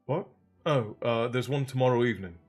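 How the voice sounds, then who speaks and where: A man's voice: medium pitch, fairly quick, darkish tone, soft background music, natural voice. A man voicing a tired teenage schoolboy who is hesitant and unenthusiastic, in a storybook reading. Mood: weary, reluctant. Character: weary, reluctant sixteen-year-old schoolboy hero.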